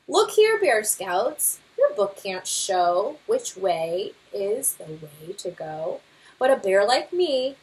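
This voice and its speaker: Woman voicing a boastful storybook papa bear, animated. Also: on the high side, full character voice, rough recording. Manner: pompous, emphatic, singsong rhyming delivery. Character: boastful, stubborn father bear, big know-it-all. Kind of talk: children's picture book read-aloud.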